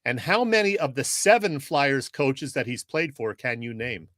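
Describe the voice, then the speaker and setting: A man's voice: mid-range voice, very animated, moderate pace, fairly bright tone, no echo, clean studio sound. A man co-hosting a casual sports podcast with a teasing, conversational manner.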